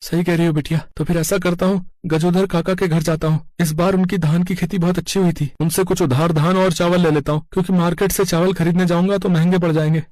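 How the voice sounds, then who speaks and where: Man acting a high, quick-talking earnest village man. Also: steady tone, a little mellow, no room sound, lightly acted. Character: earnest, proud, hard-working poor village man, father. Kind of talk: scripted cartoon story dialogue.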